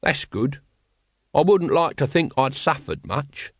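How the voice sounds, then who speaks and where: High, querulous old man. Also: expressive, measured pace, dry recording, studio quality. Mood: curious, resigned. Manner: querulous, chatty. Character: freshly dead old man, indignant then curiously accepting. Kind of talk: audiobook character acting.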